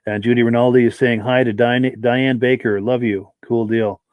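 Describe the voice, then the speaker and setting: A man's voice: mid-range voice, level tone, normal speed, no room sound, narrow, tinny audio. A man hosting a live podcast, reading out viewer comments and thanking each viewer by name, with a dry throat and a voice that is giving out. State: dry throat, losing his voice. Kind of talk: live-stream chat.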